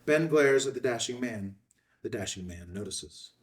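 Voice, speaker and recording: Male narrator for screenplay action lines, measured and terse, medium pitch, recorded at some distance. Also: lively intonation. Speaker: narrator reading stage directions. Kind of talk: scripted narration at a table read.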